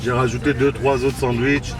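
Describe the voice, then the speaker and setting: A man's voice: medium pitch, flat delivery, moderate pace, recorded from a distance. A man from Côte d'Ivoire, speaking casually in an interview and often repeating words as he searches for them. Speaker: restaurant owner being interviewed.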